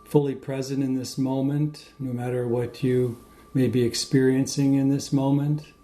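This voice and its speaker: A man leading a guided meditation in a gentle, unhurried way with many pauses. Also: medium pitch, little pitch movement. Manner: slow, pausing, gentle delivery.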